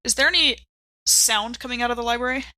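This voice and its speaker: A woman's voice: a little high, quick pace, sharp tone, dry recording, low-quality recording. A woman playing in a tabletop game podcast, chatting casually and in character.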